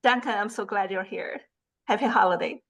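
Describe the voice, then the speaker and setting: A woman's voice: mid-range voice, a little fast, gravelly, a bit bright. A woman hosting an online class over a video call, welcoming students in an encouraging, lightly teasing way. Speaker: class host.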